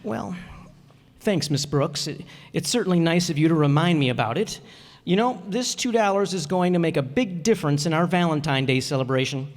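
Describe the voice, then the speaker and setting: A man's voice: fairly high pitch, animated, moderate pace, lightly acted. A man playing a bashful, literal-minded, penny-pinching teacher with a hesitant, earnest manner in an old-time radio comedy. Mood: pleased, earnest. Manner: hesitant, earnest, fussy, throat-clearing. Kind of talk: old-time radio sitcom acting before an audience. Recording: echoey hall, amateur stage performance.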